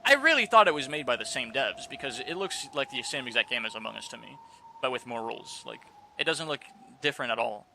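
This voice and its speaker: A man's voice: mid-range voice, expressive, fast talker, vocal fry, bright, forceful tone, slight background noise. A man streaming live, chatting casually and rambling with lots of fillers and restarts.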